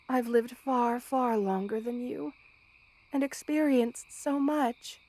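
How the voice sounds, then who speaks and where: A high-pitched, tender spider queen, voiced by a woman, ancient and motherly. She speaks in measured, formal phrases meant to soothe. The delivery is intimate ASMR roleplay, addressed straight to the listener. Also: a little mellow. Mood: gently apologetic. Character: motherly, gentle two-hundred-year-old spider queen monster woman.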